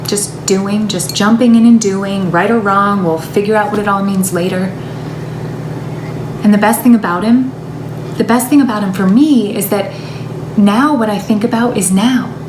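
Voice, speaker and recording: A woman's voice: medium pitch, normal speed, natural voice. Woman performing a chatty self-deluding woman, confessional, emphatic stage monologue, echoey room, background noise. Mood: hopeful, insistent. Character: chatty, wistful, self-deluding woman confiding in her sister.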